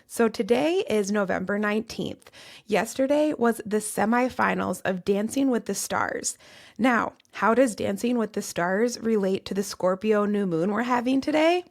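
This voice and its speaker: A woman with a Midwestern accent hosting a solo podcast, her voice raspy and cracking from overuse, talking through topics in an earnest, list-like way. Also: medium pitch, normal speed. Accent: Midwestern American. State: strained, cracking voice. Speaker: podcast host and tarot reader. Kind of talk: solo podcast monologue on astrology.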